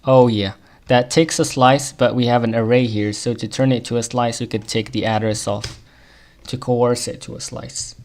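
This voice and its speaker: Gravelly man with an Arabic accent. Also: mid-range voice, steady tone, darkish tone. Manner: muttering to himself while debugging, quick chuckles. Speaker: programmer streaming live. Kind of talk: live coding stream, thinking aloud.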